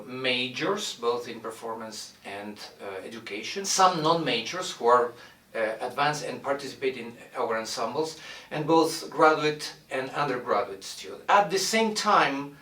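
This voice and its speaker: A middle-aged man from Argentina, a violin professor introducing his studio in a measured way, with many "uh" pauses as he searches for words. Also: medium pitch, bright, forceful tone, far from the mic. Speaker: violin professor and orchestra director. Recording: roomy echo, mic set back.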